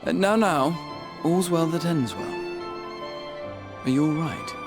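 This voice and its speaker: A man's voice: on the high side, slow pace, over music. An actor playing a genial, placating male adventurer in a fantasy game, with a casual manner. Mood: wry, placating. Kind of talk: fantasy game cutscene acting.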